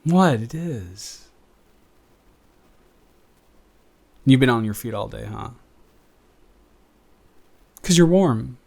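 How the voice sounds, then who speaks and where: A man plays a young male roommate in an intimate audio roleplay. He is affectionate and teasing, easily flustered, and talks in a halting, natural way, often correcting himself. His pitch sits in an ordinary male range. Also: expressive, creaky, a little mellow, full character voice. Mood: cozy, awkward. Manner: halting, conversational, self-correcting. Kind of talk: improvised intimate boyfriend-style audio roleplay.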